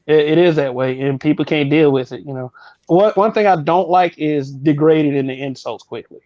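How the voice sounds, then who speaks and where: A man's voice: on the high side, fairly quick, no room sound, lo-fi sound. A man co-hosting a casual chat, rambling and opinionated with frequent restarts. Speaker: podcast co-host.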